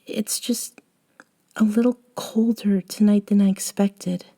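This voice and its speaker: Girl acting a sweet caring young woman for asmr roleplay, real soft n slow, warm mellow voice, kinda hesitant, half whispering. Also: medium pitch, fairly even intonation. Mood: shy, tender. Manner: soft, hesitant, often sighing and dropping to a whisper. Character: gentle, caring young woman with a wistful, guilty streak. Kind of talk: intimate ASMR roleplay audio drama.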